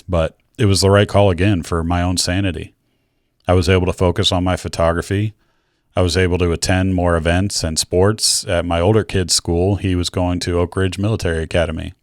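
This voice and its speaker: A man's voice: deep voice, no echo, studio quality. A middle-aged American man narrating his own personal story to viewers in a candid, reflective way. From Georgia, United States. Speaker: video creator telling his own story.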